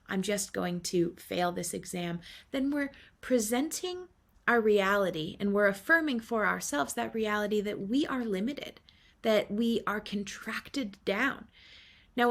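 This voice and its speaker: A woman giving an earnest spiritual talk, speaking with affirmations and unhurried pauses. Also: mid-range voice, fairly bright tone. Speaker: spiritual teacher.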